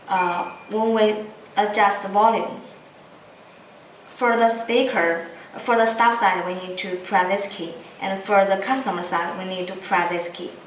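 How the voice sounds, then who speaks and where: A woman who is not a native English speaker gives an unscripted product demonstration, hesitant and pausing often mid-phrase. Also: mid-range voice. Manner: halting, hesitant speech with frequent pauses mid-phrase. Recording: roomy echo, distant mic, background noise.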